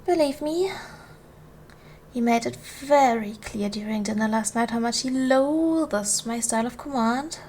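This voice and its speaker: High-voiced gracious duchess, played by a woman, soft ASMR. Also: slight background noise, close to an everyday voice. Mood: firm, indignant. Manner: formal, measured, soothing. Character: gracious, commanding noble duchess, protective of her servant. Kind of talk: ASMR roleplay, soft formal period speech.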